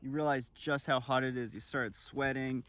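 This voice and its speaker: A man's voice: mid-range voice, fairly even intonation, moderate pace, a bit bright. Man presenting outdoors, noisy field recording. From desert region. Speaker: video journalist and presenter. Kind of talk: explanatory on-location narration to camera. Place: outdoors in open desert, sometimes near vehicles. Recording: outdoor field recording with clear ambient noise.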